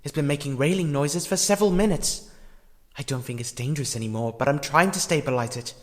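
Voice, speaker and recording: A man acting a compassionate, hesitant character in a fan audio drama, earnest and halting in his delivery. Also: fairly high pitch, lightly acted. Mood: uneasy, reporting. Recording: long reverb, music underneath.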